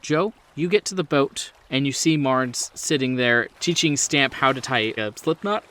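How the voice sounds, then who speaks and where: A man's voice: mid-range voice, normal speed, bright, forceful tone, a little hiss or hum. Man narrating a game over engine ambience. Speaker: game master. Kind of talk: improvised tabletop horror game narration. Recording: clear boat engine ambience behind.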